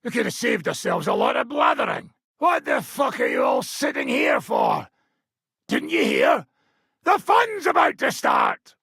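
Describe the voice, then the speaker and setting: An actor playing a gruff, foul-mouthed, battle-hardened medieval mercenary leader in a video game, raspy and blunt, with sardonic delivery. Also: male voice, extremely high pitch, very animated, a little slow, pushed and bright, dry recording, shouting, clean studio sound, full character voice. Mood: excited shouting. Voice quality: raspy, gravelly. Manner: blunt, sardonic, swearing freely. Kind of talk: video game character dialogue.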